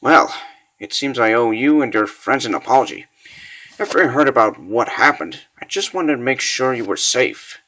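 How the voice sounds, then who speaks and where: A man's voice: medium pitch, animated, moderate pace, bright, forceful tone. A man voicing a weary, brooding older warrior in a comic dub, muttering with self-doubt. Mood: apologetic.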